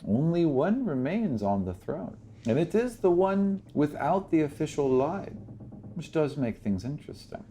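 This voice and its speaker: A man's voice: on the high side, sing-song, a little slow, dark tone, a little hiss or hum, pitched above the performer's own voice. A man voicing an elderly elven grandmother in a fantasy tabletop game, doting yet imperious and world-weary, with genteel, fussy phrasing. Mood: shrewd. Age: very old.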